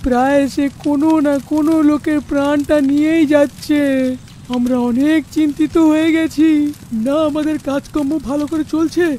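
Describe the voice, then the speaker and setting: Woman voicing a high, worried village man. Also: steady tone, normal speed, dark tone, a little hiss or hum, close to an everyday voice. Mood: troubled. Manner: pleading, worried. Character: anxious village headman, adult male, earnest. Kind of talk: cartoon fairy tale acting.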